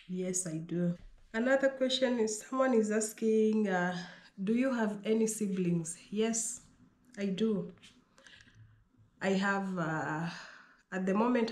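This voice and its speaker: A woman with a Kenyan accent talks in an unhurried, deliberate way, reading each viewer's question out loud and then answering it herself. She speaks at a mid pitch, and her voice rings a little off the bare bedroom walls. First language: Pokomo. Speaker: vlogger. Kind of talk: Q&A vlog. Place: small bedroom indoors. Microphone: fixed camera at desk distance. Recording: echoey bedroom.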